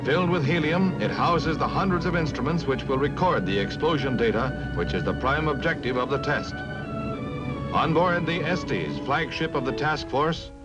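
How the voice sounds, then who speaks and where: A man's voice: a little high, animated, normal speed, muffled recording, over loud music. A man with an American accent reading scripted newsreel narration in a dramatic announcer style, on a vintage 1950s soundtrack.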